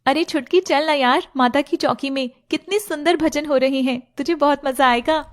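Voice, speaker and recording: Woman voicing a high, chatty, bossy young woman. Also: moderate pace, lightly acted. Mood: playful scolding. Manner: chatty, playfully scolding. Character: bossy, affectionate, teasing young female relative. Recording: music playing behind the voice.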